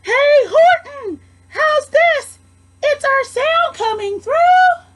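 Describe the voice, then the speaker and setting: A tiny storybook mayor, acted out by a woman, crying out in a very high voice. He sounds frantic and urgent, stretching his words as he rallies his people. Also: expressive, slow pace, exaggerated voice. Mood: urgent, hopeful. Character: frantic small male mayor of tiny creatures, pleading urgently. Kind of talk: storybook character voice.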